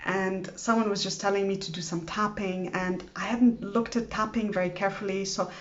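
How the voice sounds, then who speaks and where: A woman's voice: medium pitch, steady tone, normal speed, dull audio. A woman in her forties, born in Lebanon and raised in Greece, who practises EFT and talks earnestly about her own healing. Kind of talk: personal reflective talk to camera.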